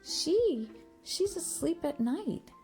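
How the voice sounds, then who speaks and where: Woman as a gentle mom, raised pitch, patient, explanatory, storybook reading, soft music behind. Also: sing-song, slow pace, heavily acted. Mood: gently explaining. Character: gentle mother softly explaining to her young son.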